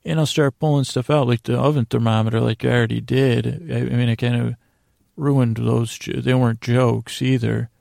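A man hosting a bedtime podcast with a creaky, gravelly voice, rambling and halting through digressive monologue that often trails off. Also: medium pitch, steady tone, darkish tone, dry recording, studio quality. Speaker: podcast host. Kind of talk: rambling bedtime monologue to lull listeners to sleep.

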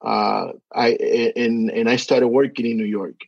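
A man's voice: medium pitch, fairly even intonation, a little slow, creaky, dry recording. A Spanish-speaking man from the Dominican Republic, with a Dominican accent, talking as a podcast guest, hesitant with many fillers and restarts. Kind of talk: casual interview chat. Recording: dry, dull lo-fi sound.

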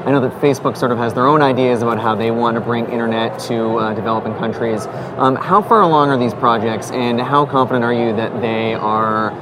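A man's voice: medium pitch, fairly quick, hardly any pauses. A man interviewing a guest, asking polite, hesitant questions with many fillers. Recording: noisy background hiss.

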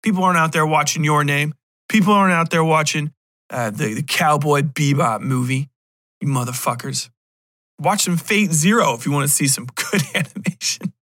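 American man, thirties, higher-pitched bright voice, slangy swearing, opinionated podcast rambling. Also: normal speed. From Cincinnati, USA. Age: 30s. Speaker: podcast host. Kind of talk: casual rambling podcast monologue.

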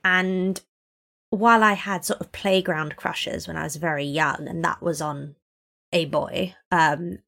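A woman's voice: lowish voice, steady tone, measured pace, vocal fry, fairly bright tone. A woman in her twenties from Hong Kong, a podcast guest chatting in a wry, articulate way with frequent laughs. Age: 20s. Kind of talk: casual interview chat.

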